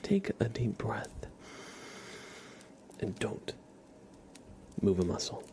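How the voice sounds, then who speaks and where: A man hosting an ASMR livestream, speaking softly and half in whispers close to the microphone, in a gentle, halting, unhurried murmur. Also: fairly low pitch, big pitch swings, slight background noise. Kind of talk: soft-spoken, half-whispered ASMR chat and playful roleplay.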